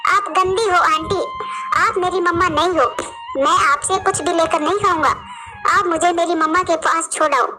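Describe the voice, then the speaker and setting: Woman voicing a high-pitched stubborn little boy chick. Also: steady tone, normal speed, loud music behind the voice. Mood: defiant, upset.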